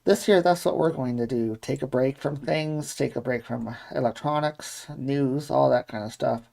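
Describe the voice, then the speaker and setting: A Canadian man co-hosts a live podcast with plenty of creak in his voice. His pitch is middling, and he talks in a casual, conversational way. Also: moderate pace, dark tone. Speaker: podcast co-host and producer. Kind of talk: casual live show chat.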